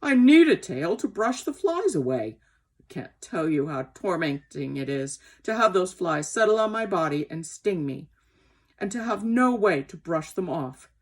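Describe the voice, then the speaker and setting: A woman voicing a gentle but indignant old male horse in a storybook, speaking in an emphatic, aggrieved and dramatic way. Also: sits low, expressive, moderate pace.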